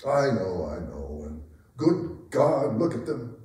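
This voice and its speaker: A man performs a proud, aging king sparring with his wife in a staged reading. His delivery is theatrical and impassioned, with a mellow tone that swings widely in pitch. He is heard at a distance in a very echoey room. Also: big pitch swings, slow pace. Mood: bitter, exasperated. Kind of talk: staged dramatic reading of a play. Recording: roomy, echoing hall, distant microphone.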